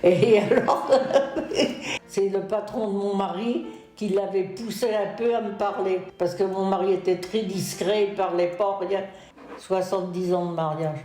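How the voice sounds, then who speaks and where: A woman's voice: medium pitch, fairly even intonation, measured pace, mellow. A woman in her nineties, a retirement home resident, hesitantly recalling her past in an interview. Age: 90s. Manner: hesitant storytelling with fillers. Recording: roomy with music underneath.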